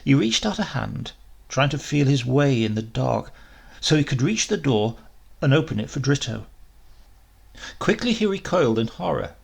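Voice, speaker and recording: A man narrating a short story in a measured, expressive way, with deliberate pauses for drama. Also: medium pitch, gravelly, breathy edge. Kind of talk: dramatic scripted literary narration.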